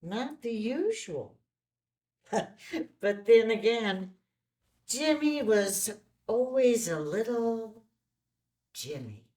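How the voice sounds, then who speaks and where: A woman's voice: fairly high pitch, sing-song, darkish tone, exaggerated voice. A woman playing a kindly, folksy small-town diner owner and mother in a film script table read. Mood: wistful. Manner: folksy, unhurried.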